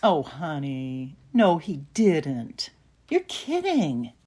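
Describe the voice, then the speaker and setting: A woman's voice: low voice, huge pitch swings, darkish tone, husky, heavily acted. A woman playing a caring, worried older mother on the phone in an audio play, speaking with affection and sympathy. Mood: amused sympathy. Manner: affectionate, sympathetic, reacts with drawn-out small phrases. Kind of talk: audio play acting, one side of phone call.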